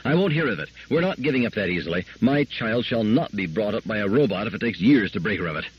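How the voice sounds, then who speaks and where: A man reading a story aloud, voicing a firm, brisk mother who speaks in a clipped, grim way. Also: lowish voice, big pitch swings, muffled recording, crowd noise. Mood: stern. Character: stern, firm mother, middle-aged wife.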